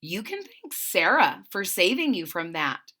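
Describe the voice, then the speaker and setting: A woman voicing a calm, protective mother cat in a storybook, with a measured, motherly manner. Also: mid-range voice, exaggerated voice. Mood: proud, gently scolding.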